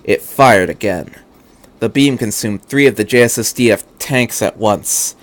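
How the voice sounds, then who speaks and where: A man's voice: on the low side, unhurried. A man reading fan fiction aloud as a narrator, with an earnest, dramatic delivery.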